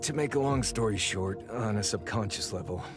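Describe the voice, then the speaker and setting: An actor playing a deferential but blunt inventor aide in a dramatic game, explaining technical things in a measured, cautious way. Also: male voice, fairly low pitch, music in the background, lightly acted. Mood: cautious, explanatory.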